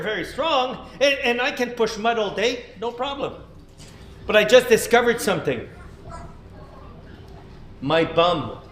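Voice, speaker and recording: A man's voice: very high-pitched, a bit bright, reverberant, slight background noise, pitched above the performer's own voice, exaggerated voice. A storyteller voicing an earnest young male beaver who boasts about his strength and frets about splinters.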